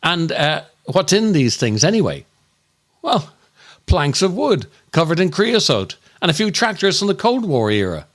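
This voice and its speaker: A man delivering a scripted comic monologue as a podcast host, in a grumpy, exasperated, mock-appalled and deliberate way. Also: fairly high pitch, very animated.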